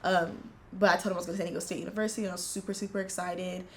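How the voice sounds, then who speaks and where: A woman in her twenties from the United States, telling her family story in an earnest, reflective interview, pausing often as she goes. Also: lowish voice, little pitch movement, a bit bright, slight background noise. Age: 20s. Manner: earnest, reflective, halting with frequent fillers. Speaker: interviewee, university student. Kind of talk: casual personal storytelling.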